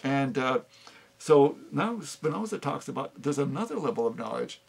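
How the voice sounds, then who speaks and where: An older man giving an unscripted talk on philosophy, halting often with fillers and restarts. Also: mid-range voice, sing-song, vocal fry, husky. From United States. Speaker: speaker on philosophy.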